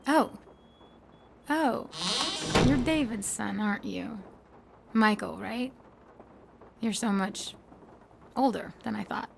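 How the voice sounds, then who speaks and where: An actress playing an uneasy woman in a video game thriller, speaking in a slow, halting way. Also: mid-range voice, creaky. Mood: startled, uneasy. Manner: halting, hesitant. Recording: very noisy background.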